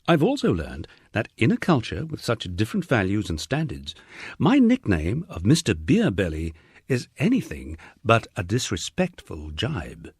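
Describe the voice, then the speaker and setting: Low-voiced, husky man reading an audiobook. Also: huge pitch swings, normal speed, no room sound, studio quality. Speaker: audiobook reader. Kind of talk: scripted audiobook narration of a memoir.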